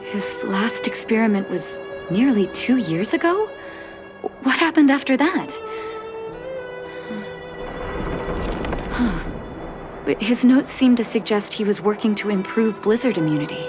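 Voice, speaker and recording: A woman voicing a gentle, composed female doctor with quiet sorrow in video game story dialogue, soft and reflective. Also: a little high, lively intonation, normal speed, natural voice. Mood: searching, worried. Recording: background game music.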